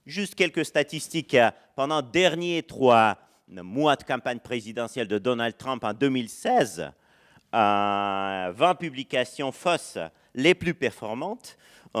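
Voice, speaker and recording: Guy lecturing at a conference, french isn't his first language, lots of euhs, mid pitch. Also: fairly bright tone. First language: not French. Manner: hesitant, many "euh" fillers and restarts. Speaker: university lecturer. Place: lecture hall.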